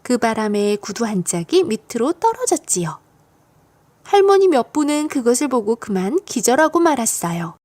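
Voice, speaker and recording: A woman reading a children's storybook aloud as a narrator, expressive and geared to young listeners. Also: on the high side. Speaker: audiobook narrator of children's stories.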